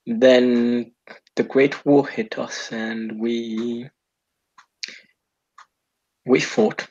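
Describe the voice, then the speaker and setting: A man's voice: mid-range voice, slightly rough, dull audio. A man voicing a formal, courteous male vampire who once fought as a soldier. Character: old-world male vampire, former French soldier, courtly.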